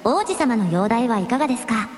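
A woman acts out a high-voiced dragon princess, proud yet courteous. Her radio drama lines are refined and ladylike, ringing with long reverb over music. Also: expressive, fairly quick, natural voice. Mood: polite, concerned. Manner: refined, very polite ladylike speech. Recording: echoey cave-like reverb, music behind.

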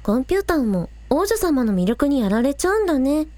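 A woman's voice: high-pitched, big pitch swings, fast talker, darkish tone, no room sound, soft background music. A woman playing a spirited, earnest young village idol, polite with superiors and breezy with friends, in a radio drama. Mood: teasing.

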